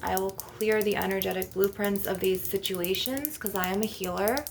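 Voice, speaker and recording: Woman with creaky voice guiding a visualization. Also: medium pitch, normal speed, a little hiss or hum. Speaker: spiritual healer and ASMR host. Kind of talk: ASMR guided visualization.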